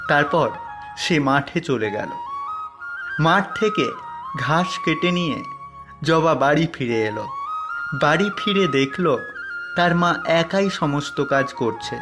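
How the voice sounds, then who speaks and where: A man's voice: on the high side, animated, slow, pauses often, music in the background. A man narrating a scripted cartoon fairy tale as its storyteller.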